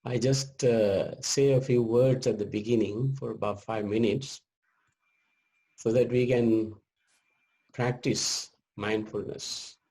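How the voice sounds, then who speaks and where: A man leading a guided meditation as a teacher, speaking gently in short measured phrases with long pauses. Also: lowish voice, steady tone.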